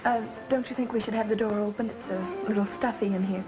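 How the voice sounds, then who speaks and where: A woman's voice: medium pitch, fairly even intonation, quick pace, close to an everyday voice. An actress playing a polite, anxious young woman in a 1930s film acting style. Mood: coy, uneasy. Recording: muffled 1930s film soundtrack with music.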